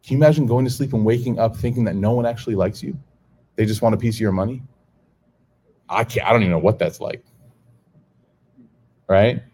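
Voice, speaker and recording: A man's voice: on the low side, brisk, long pauses, a little hiss or hum. A middle-aged man with an American accent gives an informal sermon to a live audience, telling stories, acting out the dialogue and laughing often. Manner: animated storytelling, acts out dialogue, laughs often.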